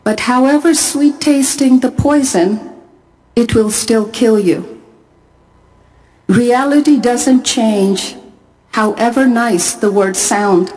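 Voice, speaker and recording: A middle-aged woman from Pakistan gives a testimony talk at a conference. Her voice sits a little high. She speaks earnestly and measures her phrases, pausing with care. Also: a little mellow, rough recording. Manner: measured, earnest storytelling with deliberate pauses. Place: large arena.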